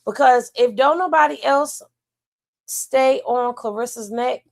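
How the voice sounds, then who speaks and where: A woman's voice: a little high, a little slow, vocal fry. A woman hosting a livestream, chatting casually in with a drawling, folksy way of gossiping. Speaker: livestream host.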